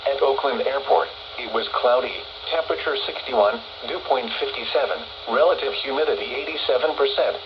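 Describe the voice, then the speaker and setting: A synthetic-sounding man's voice reads a scripted weather and marine forecast as an automated radio announcer, formal, measured and impersonal, transmitted over radio. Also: medium pitch. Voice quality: synthetic text-to-speech voice. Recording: noisy, muffled weather radio reception.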